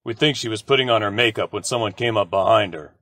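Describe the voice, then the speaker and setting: A man's voice: on the low side, fast talker, a bit bright, dry recording. Matter-of-fact police lieutenant played by a man, dry sound. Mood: businesslike. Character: brisk, wry male police lieutenant, old pal of the detective. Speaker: supporting actor. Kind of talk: old-time radio drama acting.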